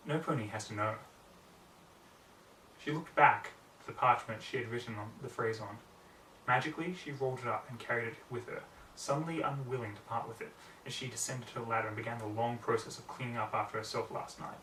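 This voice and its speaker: A man's voice: medium pitch, a little fast, bright, forceful tone. A man reading scripted fiction aloud as an amateur audiobook narrator. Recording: roomy, distant mic, faint background noise.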